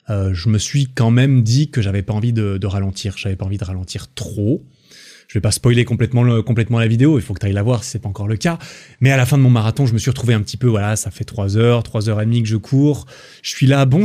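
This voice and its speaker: A young man from France hosting a solo podcast, talking in a relaxed, rambling way with lots of fillers and restarts. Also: fairly low pitch. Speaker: podcast host. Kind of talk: casual solo podcast monologue.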